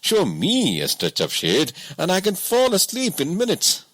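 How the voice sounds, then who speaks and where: A man's voice: sits high, huge pitch swings, a little slow, a bit bright, no room sound, voice pushed up, full character voice. A man voicing a poor, hardworking woodcutter who speaks frankly and with wonder in an audiobook story.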